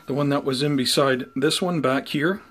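A man giving an unhurried, conversational show-and-tell as a hobby vlogger, talking casually indoors. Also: medium pitch, a bit bright. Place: indoors at home by plant shelves. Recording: clear background noise, room ambience.

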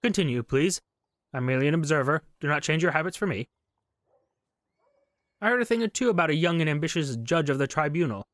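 A man's voice: on the high side, lively intonation, fairly quick, long pauses, a bit bright, no echo, studio quality. A man reading a composed, polite king. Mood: polite curiosity. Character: composed, inquisitive king of revolutionary France. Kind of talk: reading game dialogue aloud.

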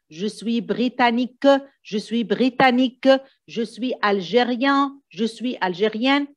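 Slow-paced woman teacher. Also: mid-range voice. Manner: didactic, repeats key phrases slowly, checks understanding often. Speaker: French teacher. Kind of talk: exam coaching lesson, switching between two languages.

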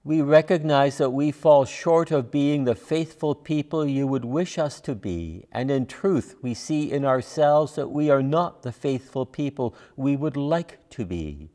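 An older man, a guest minister, reading prayers and reflections in a measured, formal, solemn way at a church lectern. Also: medium pitch, a little mellow. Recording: reverberant hall sound.

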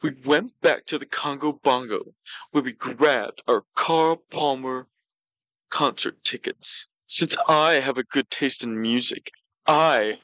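A man voicing a pouty, self-justifying glutton who tells comic stories in a halting way. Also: medium pitch, bright, forceful tone, no room sound, poor audio. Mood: smug, matter-of-fact. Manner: pouty, slow, halting delivery. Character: pouty, self-justifying, gluttonous male talk show storyteller. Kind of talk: comedic in-character storytelling.

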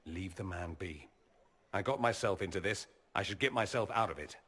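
A man's voice: sits low, expressive, moderate pace, fairly bright tone, long reverb tail. An actor playing a witty, flirtatious male mage who talks in a dry, teasing, sardonic way. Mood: teasing, wry. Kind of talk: video game voice acting.

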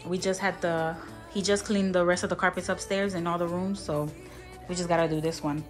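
A woman's voice: on the low side, fairly even intonation, a little fast, faint music behind. Chatty woman filming her home, echoey. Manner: chatty, rambling, self-correcting. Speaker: home vlogger. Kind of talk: casual clean-with-me narration. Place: large bright home, kitchen and playroom. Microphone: handheld phone camera.

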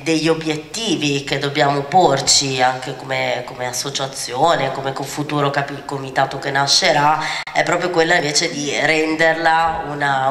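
A woman's voice: low voice, fairly bright tone. A woman moderating a conference panel on stage, speaking into a handheld mic in a hesitant way with many fillers and restarts. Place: large conference hall, seated on stage. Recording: echoey hall with faint ambience.

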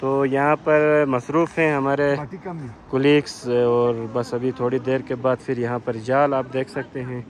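A man's voice: mid-range voice, flat delivery, measured pace, a little hiss or hum. A man, vlogging outdoors by a river in an enthusiastic, chatty way, full of fillers. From Pakistan. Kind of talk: outdoor vlog narration.

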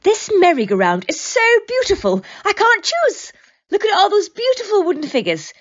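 A woman's voice: very high voice, wildly swooping pitch, normal speed, full character voice. A woman voicing a polite, wide-eyed, easily delighted companion in an audio drama, earnest and formal, on a slightly dull recording. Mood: enchanted.

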